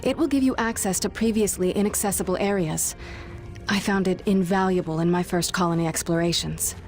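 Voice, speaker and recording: An actress playing an earnest chief's daughter of a frontier tribe in a video game, composed and stiffly formal. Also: female voice, on the low side, brisk, darkish tone, soft background music, lightly acted. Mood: grateful, formal. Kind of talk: video game character dialogue.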